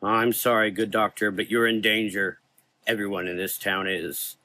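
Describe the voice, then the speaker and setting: A man's voice: on the low side, flat delivery, moderate pace, sharp tone. A man playing a mysterious gothic figure with a gift for minds, speaking in a soothing, coaxing, hypnotic way in an audio drama. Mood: earnest, gentle.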